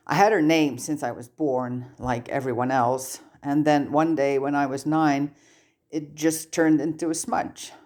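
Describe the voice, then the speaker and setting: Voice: medium pitch, steady tone, measured pace, darkish tone, close to an everyday voice. Narrator acting young wizard boy, storybook, casual, frank, matter-of-fact, slightly wistful.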